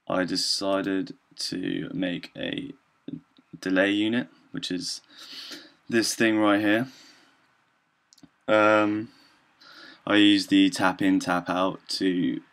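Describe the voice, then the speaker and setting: A young man talks through an informal software demo in a low, gravelly voice. He is hesitant, often filling gaps with ums and clearing his throat as he works out what to say next. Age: young adult. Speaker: student presenter.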